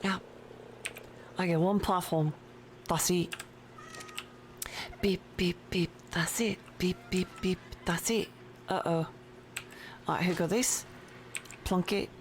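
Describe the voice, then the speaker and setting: A woman streaming a game at her home desk, chatting casually and slowly with her viewers and her pet. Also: lowish voice, steady tone, creaky, slight background noise. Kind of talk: casual live-stream chat while playing. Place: home desk with a cat nearby.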